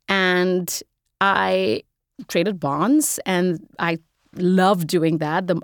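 Woman, Indian accent, forties, slightly low pitch, animated quick delivery, dry close recording. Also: no echo. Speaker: guest. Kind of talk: casual interview conversation.

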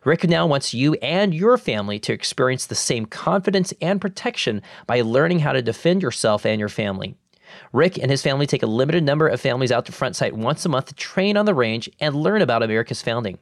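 A man hosting the show, reading an ad and narration in a smooth, even announcer delivery. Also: medium pitch, fairly quick, no echo, clean studio sound. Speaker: host. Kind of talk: scripted narration and ad read.

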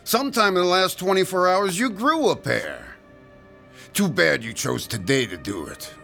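A man's voice: sits high, very animated, moderate pace, gravelly, sharp tone, soft background music, exaggerated voice. An actor playing a sarcastic male thug in a radio drama, taunting and drawling out his threats with sneering mockery. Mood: taunting menace.

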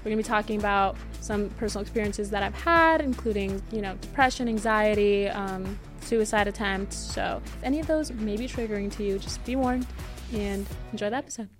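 A woman's voice: medium pitch, a little fast, music in the background. A twenty-one-year-old woman chatting casually and slangily as a podcast co-host.

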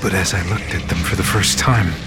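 Very deep, brooding, tormented man. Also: fairly quick, gravelly. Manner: grave, brooding, dramatic. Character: tormented, parched man recounting a nightmarish journey. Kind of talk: scripted audio drama narration. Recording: loud music under the voice.

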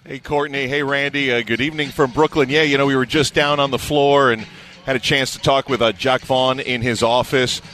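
A man's voice: medium pitch, steady tone, brisk, a bit bright. A man working as a play-by-play announcer, giving conversational analysis in a sports radio interview.

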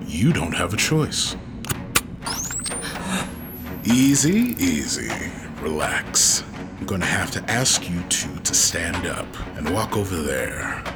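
An actor playing a cocky, menacing outlaw kidnapper chief in a sci-fi audio drama, sardonic and taunting. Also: male voice, sits low, sing-song, takes it slowly, long pauses, loud music behind the voice, full character voice. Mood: mocking menace.

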